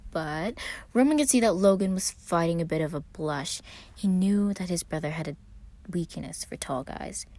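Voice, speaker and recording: Low-voiced woman narrating a story. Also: normal speed, dark tone, no echo. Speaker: fan fiction reader.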